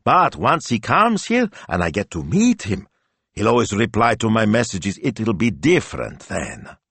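A man's voice: mid-range voice, huge pitch swings, normal speed, fairly bright tone, no echo, voice pushed up, exaggerated voice. A man voicing a self-absorbed, chatty young woman in an audiobook, pouty, breezy and earnest. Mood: wistful, hopeful.

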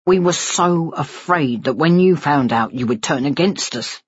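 A woman plays a stooped, weary father in a dramatised audiobook. The voice is low and rough-edged, pleading and full of remorse, with sighs. The sound is a little dull. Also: expressive, measured pace, no room sound, exaggerated voice. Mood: weary, regretful. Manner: sighing, pleading.

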